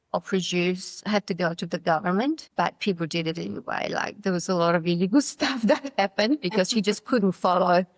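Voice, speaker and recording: A middle-aged woman with a Polish accent speaks in a fairly low voice. She talks in a thoughtful, halting way, starting sentences again as she goes. The sound is dry and close, with no room around it. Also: no room sound, rough recording. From Poland. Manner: thoughtful, halting, with restarts and fillers. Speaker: guest. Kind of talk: personal story interview.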